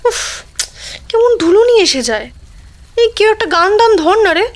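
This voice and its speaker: Woman acting a very high-voiced, chatty big sister. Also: very animated, measured pace, exaggerated voice. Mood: chirpy complaining. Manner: complaining, coaxing, casual. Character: chatty bossy elder sister, young, lively. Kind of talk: audio story acting.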